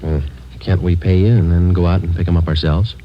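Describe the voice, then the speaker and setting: An American man playing a terse, businesslike police sergeant in an old radio drama, deadpan and matter-of-fact. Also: low-pitched, brisk, slight background noise, lightly acted. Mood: casual. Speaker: police detective lead and narrator. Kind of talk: 1940s-50s radio drama acting, clipped narration. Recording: dull old-time radio recording, music behind.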